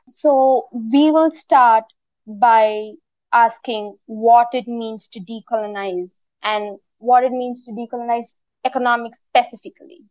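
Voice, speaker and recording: A young woman from India opening a student podcast in a measured, unhurried way. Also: fairly high pitch, mellow, no echo, dull audio. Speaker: podcast host. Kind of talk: podcast introduction.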